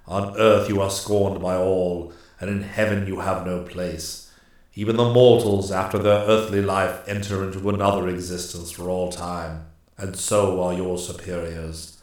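A man voicing a grave, ancient immortal master of the forest in a storybook, speaking in stern, stately, deliberate pronouncements. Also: lowish voice, heavy reverb, natural voice. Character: grave, commanding ancient immortal master woodsman, bearded male.

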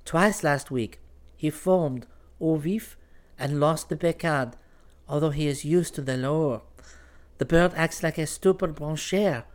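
A male narrator plays a young noblewoman of old France, gracious yet used to command. The voice is unhurried and smooth, with courtly, old-fashioned phrasing and French terms. It suits a storybook romance. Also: fairly high pitch, voice pushed up, close to an everyday voice. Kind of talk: audiobook character voice.

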